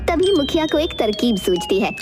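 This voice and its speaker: A woman's voice: sits high, very animated, moderate pace. Woman narrating a folk tale over music. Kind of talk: scripted storybook narration. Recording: loud music under the voice.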